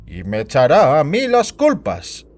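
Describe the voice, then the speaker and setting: A man playing a worried, scheming and bossy senior official in an audio drama, speaking with theatrical, deliberate authority. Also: a little high, wildly swooping pitch. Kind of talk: scripted Spanish audio drama acting. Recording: music bed behind the voice.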